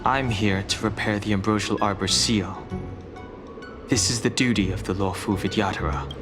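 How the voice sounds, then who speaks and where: A lowish, formal young guardian voiced by a man in an anime-style fantasy game. He is reserved and dutiful, earnest and measured in every phrase, with music clearly playing behind him. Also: steady tone, natural voice. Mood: polite, calm. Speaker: game character voice actor.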